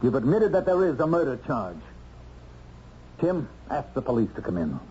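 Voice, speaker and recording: An actor playing a stern man who confronts a criminal in an old-time radio drama, deliberate and accusing. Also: mid-range voice, very animated, natural voice. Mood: accusing, triumphant. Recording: muffled, hissy old radio recording.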